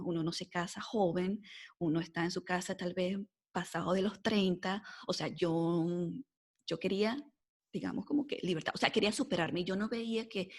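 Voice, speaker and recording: A Venezuelan woman in her forties with a mixed accent softened by life in the United States, telling her story as a podcast guest in a lively way, full of fillers like "este" and "o sea". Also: fairly low pitch. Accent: mixed Venezuelan Spanish, softened by years in the US. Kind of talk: casual storytelling interview.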